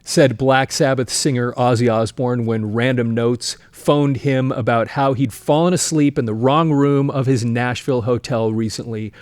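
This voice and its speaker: A man's voice: mid-range voice, a little mellow, no room sound. A man hosting a music history show, telling stories informally and reading quotes aloud in a chatty, often amused way.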